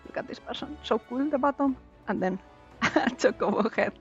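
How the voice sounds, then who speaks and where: A woman's voice: on the high side, moderate pace. A woman whose first language is Valencian/Catalan, chatting casually as a streamer while playing a game. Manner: chatty, laughs easily, reads game text aloud. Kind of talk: casual chat while gaming. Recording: slightly dull lo-fi stream audio. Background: faint game music.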